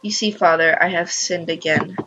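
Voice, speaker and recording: Woman acting a timid guilt-ridden girl, apologetic, hushed beside her usual talk, visual novel character, dull mic. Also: on the low side, fairly even intonation, normal speed, slightly rough. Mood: ashamed. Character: meek, guilt-ridden, obedient young girl.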